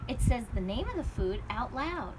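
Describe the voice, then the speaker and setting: A woman's voice: fairly high pitch, sing-song, normal speed. A woman voicing a patient, kind schoolteacher in a storybook, reassuring and encouraging as she explains. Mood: explaining. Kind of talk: storybook read-aloud character voice. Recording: loud background noise.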